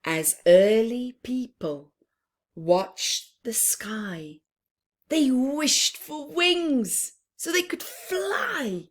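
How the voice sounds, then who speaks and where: A woman reading a picture book aloud for young children, expressively and with chatty asides, pausing between phrases and often dropping to a hushed tone. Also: a little high, expressive. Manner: deliberate phrasing with pauses, often dropping to a hushed near-whisper.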